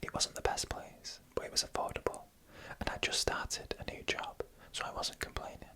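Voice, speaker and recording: ASMR story host. Fully whispered, breathy and bright delivery, hushed and measured phrasing. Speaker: narrator and channel host.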